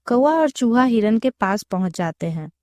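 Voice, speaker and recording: Female storyteller for kids' tales, soft dark tone, very dry close recording. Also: on the high side, expressive, normal speed, dry recording. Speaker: children's storyteller. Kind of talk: scripted story narration.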